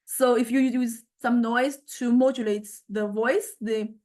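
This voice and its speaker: A woman lecturer giving an informal software demonstration, explaining conversationally and often hesitating as she searches for words. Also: fairly high pitch, steady tone, measured pace. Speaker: university music technology lecturer.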